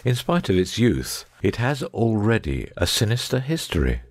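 A man playing a shrewd, composed gentleman detective in a dramatic audiobook reading, precise and measured, with quiet authority. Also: on the low side, big pitch swings, no room sound, clean studio sound. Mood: musing.

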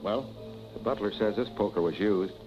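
An actor plays a dry, skeptical police detective in a vintage crime film. He talks in a clipped, lowish voice. The soundtrack is dull and old, with strong music. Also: normal speed, lightly acted. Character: skeptical, dryly sarcastic male police detective. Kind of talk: 1940s film acting.

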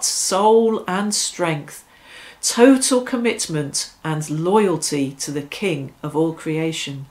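A middle-aged woman leading devotional prayers and reflection in a deliberate, phrase-by-phrase way. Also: lowish voice. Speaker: prayer leader. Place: small domestic room, seated on a sofa.

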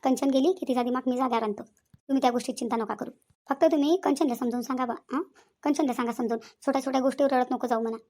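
Woman playing high, fast-talking village peacemaker auntie. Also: steady tone, warm tone. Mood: calming, insistent. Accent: Varhadi Marathi. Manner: talkative, reassuring, repetitive persuasion. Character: middle-aged village matriarch, practical, placating family peacemaker. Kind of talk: comedy cartoon dialogue.

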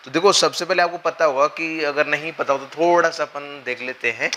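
A young man lectures at a whiteboard in a slightly hoarse voice, the lingering trace of a cold. His tone is bright and forceful, and he talks through each point patiently, pausing often to check that students are following. The lapel mic picks up a faint hiss of room ambience in the classroom. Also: a little high, fairly even intonation. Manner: explanatory, with frequent check-ins and hesitations. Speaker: exam coaching teacher.

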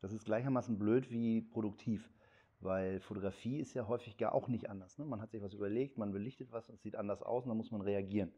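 Man, 50s, low mellow voice, blunt interviewee, slightly muffled recording. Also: fast, breathy edge. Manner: blunt, opinionated. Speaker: interviewee photographer and director. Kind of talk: casual interview talk.

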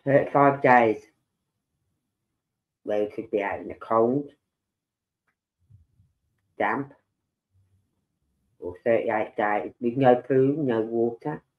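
A middle-aged man, slow and rambling as he hosts a livestream chat with a head cold and sore throat. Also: mid-range voice. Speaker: livestream host. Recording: dull lo-fi sound.

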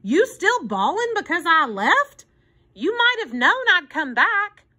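A woman voicing a gruff, soft-hearted old hobo man in an expressive children's storybook reading, grumbling and exclaiming emphatically. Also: very high-pitched, slow, voice pushed up, full character voice. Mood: urgent, caring.

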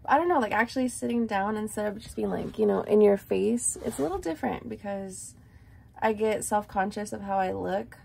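A young woman talks to her camera in a loose, rambling way, full of likes and ums. Her voice is raspy and gravelly, with a dark, mellow tone. She sounds close to a desk camera in a small room. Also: mid-range voice, brisk, slight background noise. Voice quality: raspy, dry morning voice. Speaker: vlogger. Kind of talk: casual chat to camera.

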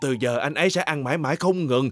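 A man's voice: on the high side, quick pace, crowd noise, lightly acted. A man voicing an earnest, fussy middle-aged scientist in a cartoon dub, with a southern Vietnamese accent. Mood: alarmed. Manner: earnest, explanatory.

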